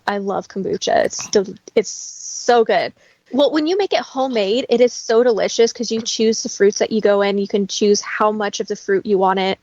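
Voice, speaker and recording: A woman's voice: mid-range voice, darkish tone, dry recording, muffled recording. A woman talking in a casual conversational interview as a guest, explaining things in a chatty way.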